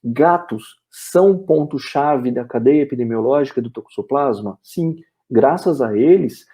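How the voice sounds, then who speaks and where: Dark, husky Brazilian man lecturing. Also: mid-range voice, animated, a little slow. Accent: Brazilian Portuguese. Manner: explanatory, checks in with "ok?" and "né?", frequent "é" fillers. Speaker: veterinary lecturer. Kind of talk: webinar lecture.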